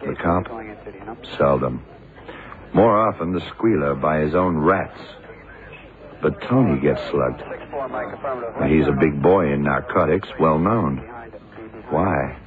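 An actor in a 1970s radio drama playing a shrewd, brooding detective who talks in deliberate, clipped phrases. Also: male voice, a bass voice, crowd noise. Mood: brooding, businesslike. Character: shrewd, brooding male police detective in charge. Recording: muffled old radio broadcast, background din.

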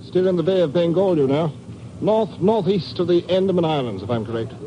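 An actor in a 1950s radio drama playing a jovial, chatty British gentleman passenger with an old-fashioned clubby manner. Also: a little high, lively intonation, fairly quick, highs cut off, crowd noise, lightly acted. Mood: chatty, fussy. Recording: noisy old radio recording.

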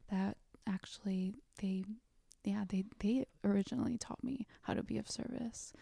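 A young woman from South Korea, speaking as an interview guest in a soft, thoughtful way with frequent pauses. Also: mid-range voice, gravelly, no room sound, clean studio sound. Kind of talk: reflective interview talk.

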